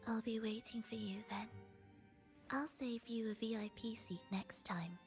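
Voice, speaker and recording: An actress playing a sorrowful, soft-spoken game character hardened by loss, speaking in a measured, solemn and sometimes hushed way. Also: female voice, fairly high pitch, fairly even intonation. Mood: tender, wistful. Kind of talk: dramatic game voice acting. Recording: voice under prominent string music. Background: violin music.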